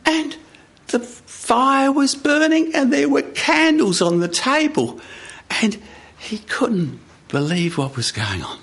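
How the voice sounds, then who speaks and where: A man telling a folk tale live, in an animated storytelling manner with repetitive phrasing. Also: very high voice, slow pace, slightly rough. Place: radio studio with live audience.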